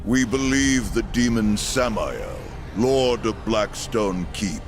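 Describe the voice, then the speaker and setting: Imperious low-voiced otherworldly judge. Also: male voice, lively intonation, takes it slowly, music in the background. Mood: grave. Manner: portentous, declaiming. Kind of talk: video game cutscene acting.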